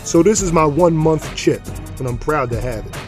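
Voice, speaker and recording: An actor playing a brawny middle-aged gang enforcer and reformed drinker, talking in a folksy, swaggering way in an audio drama. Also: male voice, a little high, expressive, normal speed, over music, natural voice. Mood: proud, hopeful.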